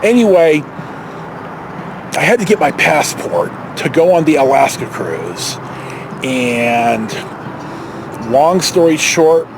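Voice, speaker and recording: A middle-aged American man with a notably high voice talks casually, as if sharing family history. He rambles and pauses often, thinking back as he goes. Clear vehicle and outdoor noise runs behind him. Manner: rambling, reflective, halting. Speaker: storyteller vlogger. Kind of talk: casual personal storytelling.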